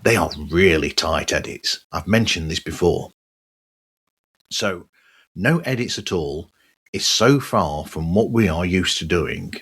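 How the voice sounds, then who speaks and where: A middle-aged man giving a conversational solo podcast monologue in measured, halting short phrases. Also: low-pitched, expressive, a bit bright, breathy edge. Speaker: podcast host.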